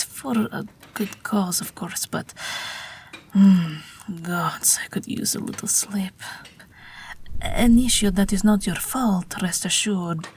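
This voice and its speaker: A woman's voice: lowish voice, slight background noise. A woman voicing an ageless Russian night witch pilot, wry, tender and weary, in an intimate, whispery audio roleplay. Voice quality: breathy, whispery. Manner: gentle, dryly wry, unhurried.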